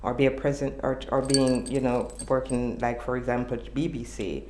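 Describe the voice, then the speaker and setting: A woman with a Jamaican accent answering interview questions in a measured, thoughtful way. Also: very deep voice, steady tone, a little mellow. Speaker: journalism student interviewee. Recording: echoey room with faint noise.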